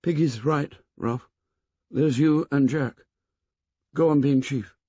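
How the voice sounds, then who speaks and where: A shy, gentle boy speaks softly in a slightly raised voice, as a man acts him out. He talks slowly and calmly. The sound is dry and a touch muffled. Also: mid-range voice, husky, no room sound, voice pushed up.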